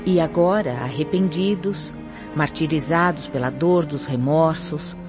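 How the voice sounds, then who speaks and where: An actress playing a stern yet compassionate spirit instructor in a Brazilian radio drama, with solemn, emphatic diction and a Brazilian accent. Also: female voice, sits low, a little slow. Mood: stern, reproachful. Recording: muffled audio with faint music.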